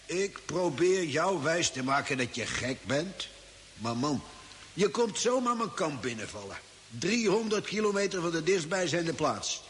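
Bossy sarcastic bush camper, swingy highish voice, old radio play. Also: male voice, lively intonation, unhurried, a bit bright. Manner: sarcastic, commanding. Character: gruff, sardonic male outback camper, bossy and dismissive. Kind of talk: old radio drama acting. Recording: very noisy old radio recording.

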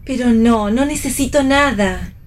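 An actress playing a guarded, wry young pregnant wife in a radio play, with an Argentine accent and a curt, dry manner. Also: female voice, a little high, unhurried, a little hiss or hum, natural voice. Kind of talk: radio theatre play reading.

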